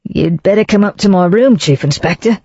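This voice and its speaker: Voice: normal speed, dark tone, no room sound, lightly acted. A reader doing a smarmy Cockney salesman. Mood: wheedling, nervous. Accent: Cockney overlaid with refinement. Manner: wheedling, panicky, raised pitch. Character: smarmy Cockney travelling salesman in his thirties. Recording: dull lo-fi, dry.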